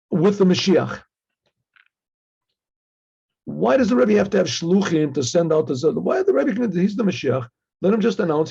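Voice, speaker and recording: A man's voice: fairly high pitch, warm tone, highs cut off. A man teaching an informal religious lesson as a rabbi, reading Hebrew text and translating it conversationally, repeating phrases as he goes. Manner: conversational, acts out dialogue, repeats phrases, frequent throat clearing.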